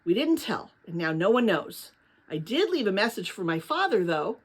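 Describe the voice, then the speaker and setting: A woman's voice: mid-range voice, very animated, moderate pace, breathy edge. A woman voicing a serious, practical young boy in a storybook adventure, speaking in a matter-of-fact, questioning way. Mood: resigned.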